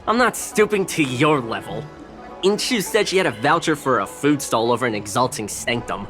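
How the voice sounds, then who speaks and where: A man's voice: mid-range voice, expressive, moderate pace, over music, close to an everyday voice. A man acting a cocky, greedy young shopkeeper in a video game, scoffing and smug with exaggerated exclamations. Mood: grudging. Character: cocky, greedy young male shopkeeper, sneering but cowardly. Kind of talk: video game character dialogue.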